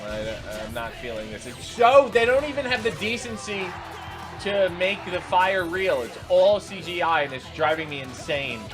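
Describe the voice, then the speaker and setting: A man's voice: high voice, lively intonation, normal speed, over music. A man hosting a reaction video, giving unscripted running commentary in an exasperated, sarcastic, incredulous way.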